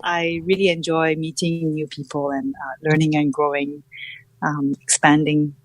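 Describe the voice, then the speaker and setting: A woman's voice: deep voice, flat delivery, a little slow, no echo, poor audio. A middle-aged woman from Malaysia, a podcast guest telling her story reflectively and searching for her words. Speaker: podcast guest, founder and coach. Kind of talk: reflective personal interview talk.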